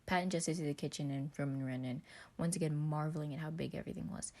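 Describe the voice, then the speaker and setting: A woman's voice: deep voice, steady tone, fast, warm tone, a little hiss or hum. A woman reading a story aloud as a scripted narration. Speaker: podfic narrator.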